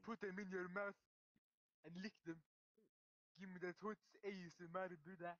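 A man's voice: high voice, steady tone, moderate pace, lo-fi sound, background noise, natural voice. A man putting on a Ugandan accent as a cheeky, insistent character who pleads and calls people brother in improvised comic chat. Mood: playful, pleading. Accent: put-on Ugandan.

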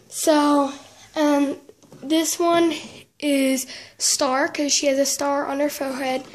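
High voiced girl doing a casual show and tell, slow, stops and starts, fixes her words as she goes. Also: a little mellow. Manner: halting, trailing off and self-correcting. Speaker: vlogger giving a tour of a toy horse barn. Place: indoors at home, room with wooden floor. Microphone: handheld camera, speaker behind it.

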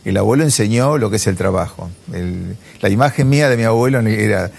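A man's voice: on the low side, fairly quick, vocal fry, slightly rough. A man in his fifties with an Argentine accent giving hesitant, reflective answers in a studio interview on a lapel mic. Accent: Argentine Spanish. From Chacabuco, Argentina. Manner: hesitant, reflective, seeks agreement with tag questions. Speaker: interview guest, businessman. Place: television studio.

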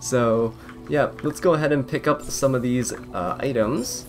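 A man's voice: mid-range voice, a little slow, faint music behind. A young man giving casual gameplay commentary in a chatty, amused way, wandering off on tangents. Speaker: gaming video creator. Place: voice-over recorded apart, game music behind.

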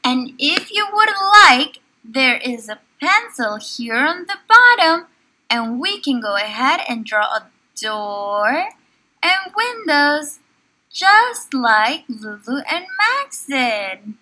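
A woman teaching young children online, reading aloud and asking questions in an encouraging, animated manner. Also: high voice, slow pace, poor audio. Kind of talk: children's online lesson with story read-aloud.

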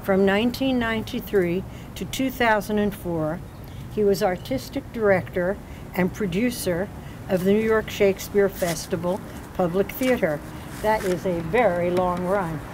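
A woman's voice: medium pitch, moderate pace. An older woman hosting a ceremony, reading formal introductions from notes at an outdoor podium with small slips. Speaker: ceremony host. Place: outdoors on a city street by a doorway. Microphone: podium mic. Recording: outdoor street noise.

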